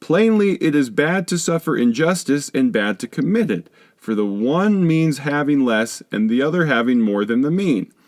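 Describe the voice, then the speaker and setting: A man reading a scholarly text aloud as an audiobook narrator, in a measured, formal, lecturing way. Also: medium pitch, animated, no echo, studio quality. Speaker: audiobook reader.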